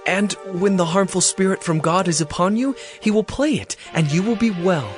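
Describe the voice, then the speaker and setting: A man's voice: sits high, normal speed, close to an everyday voice. An actor playing a deferential, earnest male servant of a king, speaking formally in a Bible drama. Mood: earnest, advising. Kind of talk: dramatized Bible story acting. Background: music.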